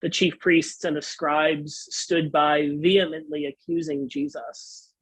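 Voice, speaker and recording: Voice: medium pitch, steady tone, slow pace, breathy edge, no room sound. A pastor giving a dramatic scripture reading for a worship service.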